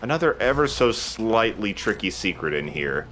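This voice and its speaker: A man's voice: fairly low pitch, big pitch swings, moderate pace, fairly bright tone, slight background noise. A man giving casual gaming commentary as a let's play host, explaining things in a self-deprecating way.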